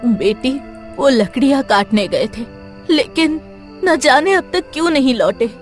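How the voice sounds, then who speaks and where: An anxious, tender village mother from a cartoon, played by a woman. Her devoted, worried voice sits fairly high over clear background music. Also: expressive, measured pace. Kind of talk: Hindi cartoon voice acting. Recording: prominent music bed behind.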